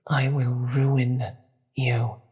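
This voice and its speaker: Casting: demon queen for audio drama, acted out by one performer, very breathy and husky, slow, commanding yet weary. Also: medium pitch, little pitch movement, dull audio. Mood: stern, commanding. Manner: slow, deliberate, grave. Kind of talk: dramatic audio roleplay acting.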